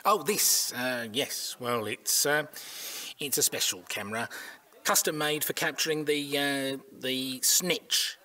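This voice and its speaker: A man's voice: mid-range voice, takes it slowly, sharp tone. An actor playing a nervy, irritable older man, hesitant and grumbling, in an audio comedy drama. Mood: flustered, evasive.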